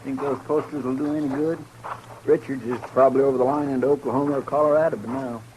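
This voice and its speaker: A man's voice: mid-range voice, fairly even intonation, quick pace, close to an everyday voice. Man playing a mild, folksy frontier deputy, noisy recording. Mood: mild, forgiving. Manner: hesitant, folksy, respectful. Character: deferential, mild, loyal frontier marshal's helper. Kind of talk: 1950s radio western acting. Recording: noisy 1950s radio recording.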